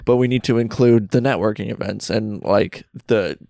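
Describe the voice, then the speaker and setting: A man's voice: lowish voice, moderate pace, gravelly, dark tone, no room sound, studio quality. A young man co-hosting a podcast, chatting casually and loosely, full of "like" and "yeah" fillers. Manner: laid-back, frequent "like" and "yeah" fillers. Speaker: podcast co-host. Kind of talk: casual chat. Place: basement.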